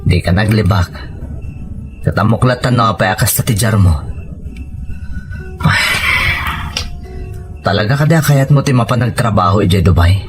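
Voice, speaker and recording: A man's voice: on the low side, a little fast, faint music behind, close to an everyday voice. A man playing a hesitant, worried husband in a domestic drama, questioning and reluctant. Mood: probing. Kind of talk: domestic audio drama dialogue.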